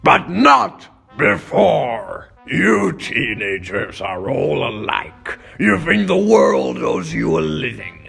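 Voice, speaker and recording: A blustering middle-aged newspaper boss, with a gravelly, high-set voice. He is gruff and scornful, barking out his stingy demands with swooping emphasis, in comic book dub style. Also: big pitch swings, slow, hardly any pauses, fairly bright tone, soft background music, heavily acted. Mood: scornful, bossy.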